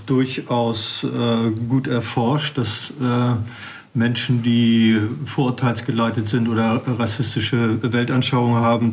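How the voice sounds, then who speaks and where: A man as an expert guest on a radio show, explaining slowly and academically with frequent hesitations. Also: medium pitch. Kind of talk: radio interview answers.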